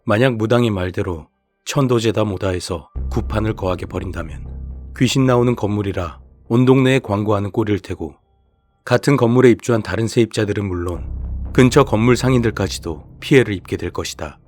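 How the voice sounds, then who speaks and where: A man's voice: lowish voice, fairly quick, darkish tone, faint music behind. A man reading scripted horror narration as the storyteller of a radio-style show.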